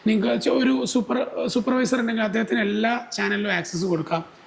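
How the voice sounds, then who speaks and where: A man speaks in a high, creaky voice. He explains things as an interview guest, dropping in English terms. There is clear noise in the recording. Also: steady tone, a little fast. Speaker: guest expert on a company podcast.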